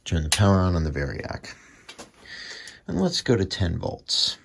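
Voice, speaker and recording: A man's voice: deep voice, wildly swooping pitch, a little slow, fairly bright tone. A man giving a casual, matter-of-fact running commentary as he demonstrates a repair at his workbench, thinking aloud in short phrases. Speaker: hobbyist radio restorer presenting his own repair. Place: small home workbench. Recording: clearly noisy workshop recording with clicks.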